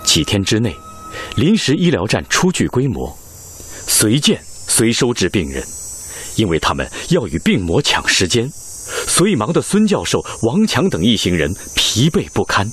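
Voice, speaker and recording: Male narrator for radio drama: low voice, wide expressive intonation, scripted storytelling, faint music underneath. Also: normal speed.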